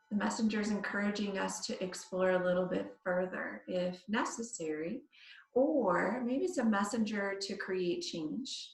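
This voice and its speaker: A woman teaches yoga from some distance away from the microphone, in a very echoey room. Her pitch is average for a woman. She guides a body scan meditation in short, spaced-out phrases. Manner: instructing in short phrases with long pauses.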